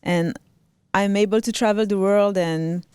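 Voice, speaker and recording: A young woman with a French accent, a violinist chatting as a guest in a casual interview, soft-spoken with frequent ums and restarts. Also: mid-range voice, steady tone, measured pace, smooth, no room sound, studio quality. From Reunion Island, raised in Paris.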